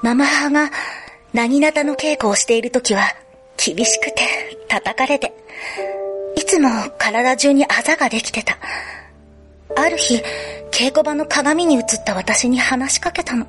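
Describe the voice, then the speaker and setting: Woman playing a troubled, high-voiced schoolgirl confessing. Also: a little slow. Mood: pained confession. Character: troubled, polite schoolgirl fearing her violent second self. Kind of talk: radio drama acting. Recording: music behind the voice.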